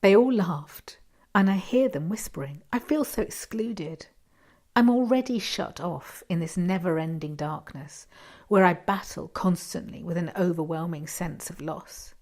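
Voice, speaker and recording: A woman reading a first-person short story expressively, with dramatic pauses and hushed asides. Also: lowish voice.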